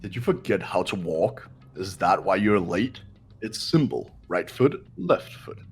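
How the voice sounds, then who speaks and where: A man acts out a cold, haughty young man from a video game. The voice is low and heavily rasped, with lively swings of condescending contempt. Music plays softly behind. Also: unhurried, exaggerated voice. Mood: contemptuous. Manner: condescending, cutting. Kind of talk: fan-dubbed video game character voice.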